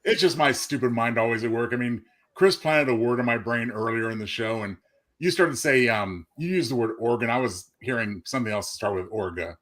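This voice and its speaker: A man's voice: medium pitch, a little fast, fairly bright tone. A man co-hosting a casual comedic panel chat, talking in a jokey, quipping way. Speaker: co-host.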